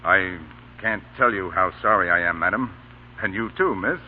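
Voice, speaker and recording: An actor playing an authoritative yet gentlemanly army colonel in an old radio drama. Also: male voice, low-pitched, moderate pace, natural voice. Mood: courteous, gentle. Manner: authoritative, clipped military orders, gentlemanly. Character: commanding, courteous male Union army colonel, stern but kindly. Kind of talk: 1930s-40s radio drama acting. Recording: dull, noisy vintage radio broadcast.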